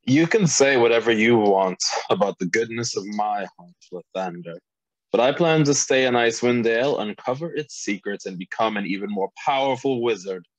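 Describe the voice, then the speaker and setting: A man playing a sardonic, ambitious wizard with a dry, sarcastic delivery in an animated fantasy table read. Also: medium pitch, normal speed, no room sound, highs cut off, natural voice. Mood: grudging.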